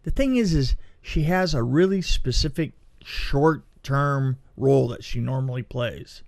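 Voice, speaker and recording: A man co-hosting a podcast, chatting casually about films in an exclamatory, halting way. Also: mid-range voice, gravelly, a little mellow, no echo, clean studio sound. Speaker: podcast co-host reviewing horror films. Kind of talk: casual film review chat.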